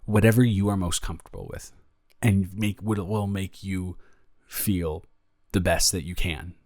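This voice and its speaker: Low-voiced, caring young roommate played by a man. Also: steady tone, measured pace, dry recording, studio quality. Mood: hesitant, concerned. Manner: rambling, stammering, gently reassuring. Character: caring, flustered young male roommate comforting a friend. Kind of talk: improvised intimate roleplay audio, one-sided conversation.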